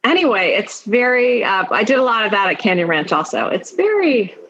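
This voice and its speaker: A woman speaking as an interview guest in a conversational, informal way, thinking aloud in halting phrases with many asides. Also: mid-range voice, big pitch swings, fairly bright tone. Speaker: podcast guest, attorney and executive. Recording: dull lo-fi sound.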